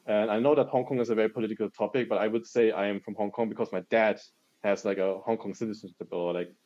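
A man from Germany reflects on his experiences in a measured way, pausing often with fillers. His voice is mellow and warm. The recording sounds dull and lo-fi. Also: lowish voice, steady tone. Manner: thoughtful, measured, frequent fillers. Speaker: podcast guest. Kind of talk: casual podcast conversation.

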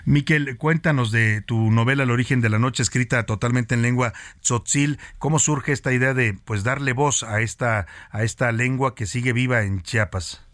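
Middle-aged Mexican radio host, chatty interviewer, dry studio sound. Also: male voice, medium pitch, normal speed, hardly any pauses, fairly bright tone, no room sound. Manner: conversational, frequent restarts and fillers.

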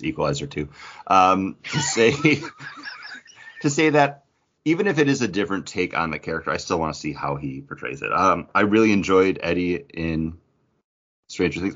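A man's voice: low-pitched, fairly bright tone, lo-fi sound. A man co-hosting a podcast, chatting casually about geek culture in a joking, teasing way. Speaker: podcast co-host.

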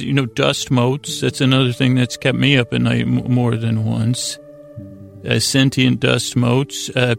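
A man hosting a sleep podcast with a rambling, improvised bedtime monologue, gravelly and halting, often stammering and correcting himself. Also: mid-range voice, no room sound. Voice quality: gravelly, creaky voice.

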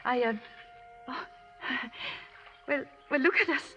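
A woman's voice: sits high, takes it slowly, bright, forceful tone, exaggerated voice. An actress playing a prim, strong-willed lady with proper, formal diction in a 1950s radio drama. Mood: tender, flustered. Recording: reverberant old radio recording with music.

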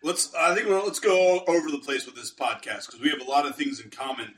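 Casual podcast host in a roomy echo. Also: male voice, a little high, a little fast, fairly bright tone, a little hiss or hum. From Pittsburgh area, United States. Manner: casual, agreeable, with restarts and ums. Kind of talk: casual interview chat. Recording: roomy, distant mic.

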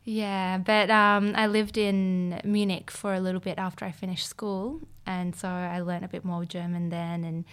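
A woman's voice: lowish voice, level tone, normal speed, hardly any pauses, smooth. A young woman, a podcast guest chatting casually, full of fillers and easy laughs. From Australia. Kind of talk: casual chat.

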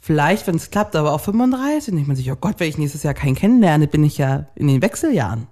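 A woman's voice: low voice, big pitch swings, fast talker, dark tone. A woman in her thirties co-hosting a casual podcast chat, blunt and outspoken, with casual swearing. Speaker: podcast co-host.

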